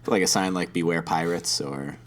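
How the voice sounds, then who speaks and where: Deep-voiced man teasing and laughing. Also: level tone, fairly quick. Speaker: podcast co-host. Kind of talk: casual chat.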